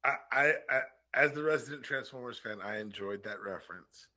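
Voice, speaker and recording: A man's voice: medium pitch, brisk, bright, forceful tone. A man from the southern United States co-hosting a podcast, bantering casually and wryly and repeating himself for emphasis. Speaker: podcast co-host.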